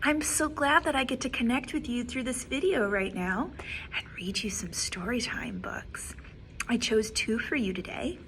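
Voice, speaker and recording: A woman librarian reading a picture book aloud to children, expressive and animated, with soft whispered asides. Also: a little high, moderate pace, background noise. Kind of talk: children's story time read-aloud.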